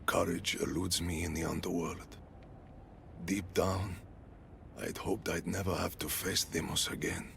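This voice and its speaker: An actor playing a proud but troubled dead Spartan general in a video game, earnest and solemn. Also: male voice, low voice, little pitch movement, unhurried, creaky, a bit bright, slight background noise, heavily acted. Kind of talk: dramatic video game acting.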